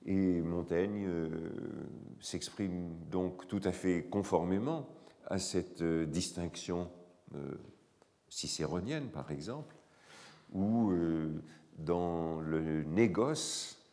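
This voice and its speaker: Hoarse, slow, deep-voiced male lecturer. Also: warm tone, long reverb tail. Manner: slow, halting, with many pauses and fillers. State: hoarse from a cold. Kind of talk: academic lecture. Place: lecture hall.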